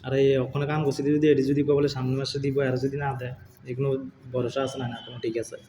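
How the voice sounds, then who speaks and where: A man's voice: mid-range voice, level tone, fast talker, warm tone, a little hiss or hum. A middle-aged man, talking casually with his daughter on a phone call in rambling, mumbled replies. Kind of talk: casual family phone chat. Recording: echoey, muffled phone call.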